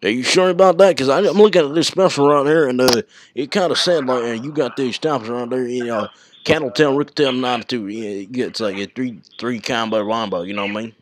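A man's voice: medium pitch, fast, background noise. A man with a country American accent rambling casually and slangily on a prank phone call. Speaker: prank caller and video maker.

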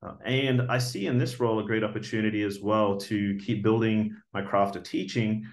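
A man's voice: on the low side, steady tone, moderate pace. A man in his forties with an Australian accent, earnestly introducing himself for a teaching job, with many fillers and restarts, recorded on a webcam indoors. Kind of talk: video job application talk. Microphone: webcam video recording.

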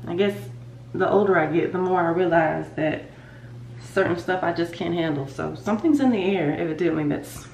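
A woman in her thirties with a stuffy, congested nose chats loosely to her viewers, rambling from one thought to the next. Her voice sits low for a woman. It rings in a roomy bathroom, a bit away from the camera mic. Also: gravelly, distant mic, a little hiss or hum. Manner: chatty, rambling, talks straight to viewers. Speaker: vlogger. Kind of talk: casual chat to camera. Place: home bathroom.

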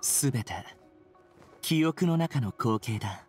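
A prickly young wanderer, curt and sardonic in every line. His voice sits at a middle pitch, with quiet game music behind it. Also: lively intonation, takes it slowly. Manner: curt, dismissive remarks. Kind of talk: video game voice acting. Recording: game cutscene audio with soundtrack under it.

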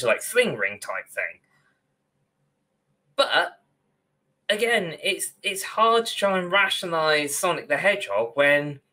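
Bright-voiced young man. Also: on the high side. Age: young adult. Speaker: podcast co-host. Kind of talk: casual discussion. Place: indoor room on a video call.

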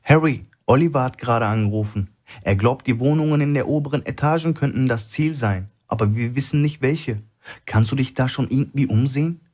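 A man voicing a bold, quick-witted woman on a covert mission in a thriller audiobook, with an urgent, persuasive manner. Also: mid-range voice, darkish tone, pitched above the performer's own voice, close to an everyday voice.

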